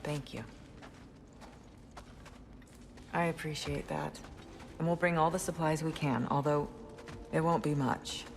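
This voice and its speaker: A woman playing a proud, stern middle-aged noblewoman in a fantasy video game, speaking in a formal, firm, measured manner. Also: low-pitched, slight background noise. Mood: businesslike.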